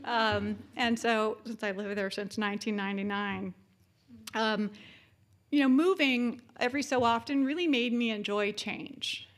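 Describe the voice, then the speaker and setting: Chatty woman in her fifties giving a keynote. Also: fairly high pitch. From born in Japan, military family. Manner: warm, chatty, many "uh" fillers. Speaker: keynote speaker. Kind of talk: conference keynote talk.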